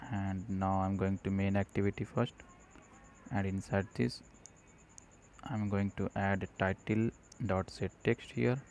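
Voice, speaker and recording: A man narrating an unscripted screencast tutorial, explaining step by step in a halting way. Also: deep voice, level tone, a little mellow. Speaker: programming tutorial presenter. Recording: noticeable background noise.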